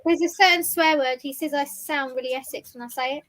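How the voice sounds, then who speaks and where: A woman's voice: high voice, brisk, a bit bright. A woman co-hosting a film watch party, chatting casually in a self-deprecating way. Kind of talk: casual chat.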